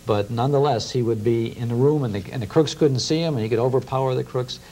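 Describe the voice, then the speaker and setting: A man's voice: medium pitch, fast, warm tone, rough recording. A middle-aged American man talking in conversation as an interview guest, explaining things with enthusiasm. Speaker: guest, radio historian. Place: small TV studio. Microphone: lapel mic.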